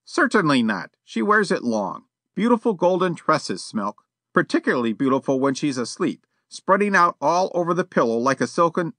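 A man voicing a prim, formal older gentleman who speaks with stiff, precise courtesy in an audiobook reading. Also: fairly high pitch, big pitch swings, normal speed, dry recording, pitched above the performer's own voice.

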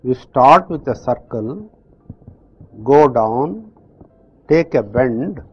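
An older man with a South Indian accent explains things slowly and deliberately, pausing between phrases. His voice is a little husky and sits at an average pitch. The recording is dull and lo-fi, with faint music underneath. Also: lively intonation. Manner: slow, deliberate explanations with pauses. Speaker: language teacher. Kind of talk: slow classroom instruction. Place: classroom with chalkboard.

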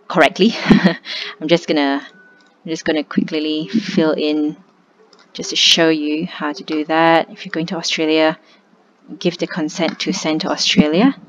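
A young woman, tutoring in a relaxed, conversational step-by-step screen walkthrough. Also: sits low. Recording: slightly muffled with faint hiss.

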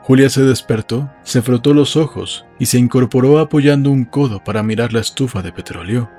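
Husky man narrating an audiobook. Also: mid-range voice, moderate pace, dark tone, soft background music. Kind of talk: scripted literary narration.

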